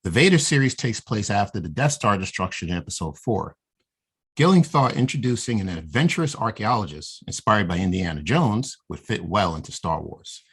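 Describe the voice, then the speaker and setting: A man co-hosting a casual podcast, reading a story recap in a steady, plain way with small asides. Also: fairly low pitch, normal speed, breathy edge. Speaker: podcast co-host.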